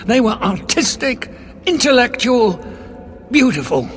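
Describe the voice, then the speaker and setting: An actor in an audio drama playing a grave, moralising alien time-traveller, arguing emphatically. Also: male voice, extremely high pitch, very animated, unhurried, heavily acted. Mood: indignant. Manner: emphatic, argumentative. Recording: dramatic music underneath.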